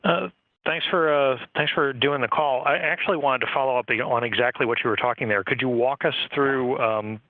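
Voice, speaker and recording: A man's voice: medium pitch, normal speed, no echo. A man asking a polite question as a journalist on a conference call. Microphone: telephone. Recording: dry, tinny phone line.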